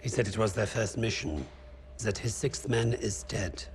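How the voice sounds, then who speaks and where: An actor playing an arrogant Nazi interrogator officer in a video game cutscene, pompous and condescending. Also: male voice, on the low side, moderate pace, slight background noise, close to an everyday voice. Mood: deferential. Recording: game cutscene with music behind.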